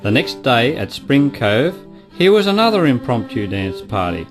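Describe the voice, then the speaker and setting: A man's voice: medium pitch, big pitch swings, moderate pace. A man narrating, reading historical quotations aloud in a scripted manner. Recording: plucked guitar music behind voice. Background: guitar music.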